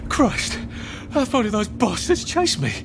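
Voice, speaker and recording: An English fugitive in a video game, with a lively, swooping delivery and a slightly gravelly, higher-set voice. He mutters wryly to himself, anxious and politely exasperated, with music behind him. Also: measured pace, heavily acted. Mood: panicked. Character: anxious, sardonic young English man on the run. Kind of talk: video game character acting.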